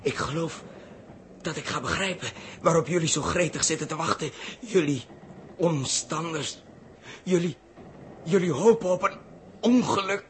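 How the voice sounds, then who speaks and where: A man's voice: fairly high pitch, expressive, moderate pace, long pauses, natural voice. An actor playing an agitated, bewildered man, indignant and accusing, with stammered repeats in 1960s radio drama style. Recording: noisy early 1960s radio recording.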